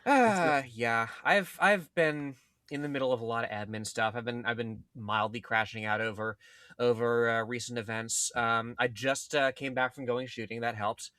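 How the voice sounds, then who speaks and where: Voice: mid-range voice, moderate pace, bright, forceful tone, no echo, clean studio sound. A podcast panelist chatting casually and informally. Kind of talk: casual chat.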